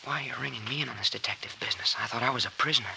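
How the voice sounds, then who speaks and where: A man's voice: mid-range voice, racing, creaky, sharp tone, poor audio. An actor playing an earnest, tense young male university student in an old radio drama. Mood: hushed, nervous. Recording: vintage radio recording with faint noise.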